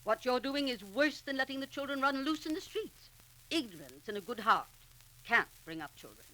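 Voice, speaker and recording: Stern society woman, clipped and lecturing, sharp bright voice, vintage radio serial. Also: sits high, lively intonation, moderate pace. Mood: scornful, threatening. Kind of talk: 1940s radio acting. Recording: crackly 1940s radio recording.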